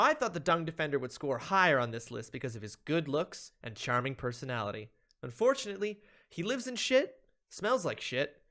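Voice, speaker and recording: A male streamer with a slightly high voice reads out a humorous ranking as if it were drama. His pitch swings widely, and he leans on words with theatrical, mock-serious emphasis. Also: a bit bright. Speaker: streamer, video host.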